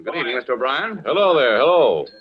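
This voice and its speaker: Actor as a lively, hearty retired detective, old radio. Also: male voice, medium pitch, quick pace, natural voice. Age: older. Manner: chummy, folksy, talkative. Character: hearty, sociable retired male FBI detective. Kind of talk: 1940s radio acting. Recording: dull old radio recording.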